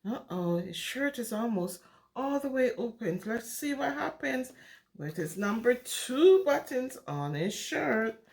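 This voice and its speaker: Woman, children's storyteller, high voice, very lively intonation, singsong, interactive, exclamatory. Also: measured pace, creaky. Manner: exclamatory, asks the listeners questions, singsong. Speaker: children's storyteller reading a picture book aloud. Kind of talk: animated interactive read-aloud for young children.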